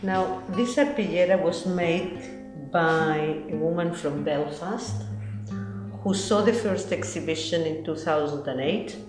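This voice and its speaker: Her voice sits low for a woman and carries a Chilean accent from her native Spanish. She explains her subject deliberately, like a curator presenting pieces in an interview. The room adds noticeable echo, and guitar music plays behind her. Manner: deliberate, explanatory, non-native English phrasing. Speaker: textile collection curator and interviewee.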